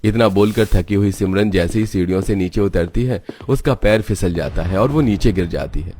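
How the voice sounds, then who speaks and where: A man's voice: low-pitched, moderate pace, mellow, slightly rough. A man reading scripted narration for a cartoon story as its storyteller. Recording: music behind the voice.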